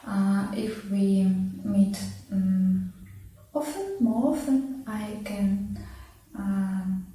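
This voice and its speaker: Slow, halting woman. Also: medium pitch, mellow. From Russia. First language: Russian. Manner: halting, searching for words with many fillers. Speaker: interviewee, immigrant learning English. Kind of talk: casual conversation. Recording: echoey room, far from mic, faint noise.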